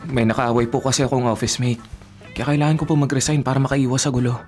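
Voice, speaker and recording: An actor playing a respectful but evasive grown son in a Filipino radio drama, polite and defensive. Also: male voice, mid-range voice, fairly even intonation, fast, darkish tone, faint music behind, natural voice.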